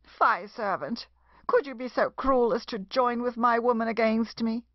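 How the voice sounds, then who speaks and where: A woman's voice: on the high side, steady tone, measured pace, dry recording, dull audio, lightly acted. A woman playing a coy, fanciful lady mistress in an old comedy, with a playful storytelling manner. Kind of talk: Restoration comedy audio play acting.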